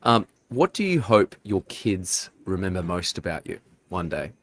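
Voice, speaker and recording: A man hosting a live interview show, effusive and quick to praise his guest. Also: deep voice, big pitch swings, a little hiss or hum. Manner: enthusiastic, effusive, repeats praise. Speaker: podcast host.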